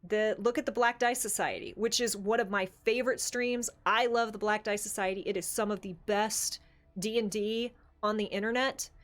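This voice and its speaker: A woman's voice: medium pitch, fairly even intonation, normal speed, a bit bright. An American woman speaking emphatically and casually, sharing opinions as a podcast co-host. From Bible Belt, United States.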